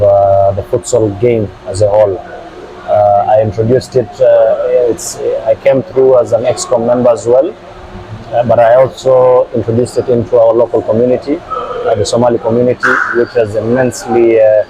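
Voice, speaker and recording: A middle-aged man from Uganda, a football club director, answering interview questions with many hesitant filler pauses. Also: medium pitch, background noise.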